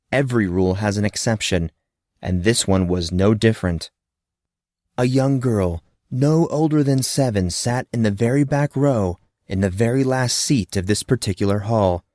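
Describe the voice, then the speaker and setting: A man narrating scripted fiction as a storyteller, with a dramatic, expressive delivery. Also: mid-range voice, no room sound, studio quality.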